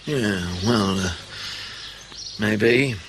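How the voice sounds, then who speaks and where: Calm spy boss, laconic and casual but keeps pushing, radio play, slight noise behind. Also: male voice, fairly low pitch, extremely slow. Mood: businesslike. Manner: laconic, casual, quietly pressing. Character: probing, unflappable male intelligence officer. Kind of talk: radio drama acting.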